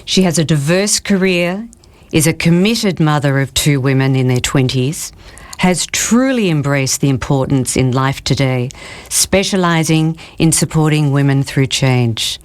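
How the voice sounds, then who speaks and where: A woman with a low voice hosts a podcast interview. She speaks in a measured way and leans on key words as she introduces her guest and asks her questions. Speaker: podcast host.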